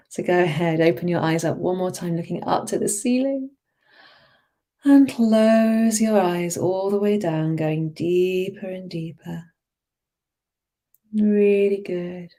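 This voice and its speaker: A woman guides a hypnosis session as a therapist would, very slowly and soothingly. Her voice sits a little low and has a soft, dark, relaxed tone. She draws out her phrases and gently encourages the listener.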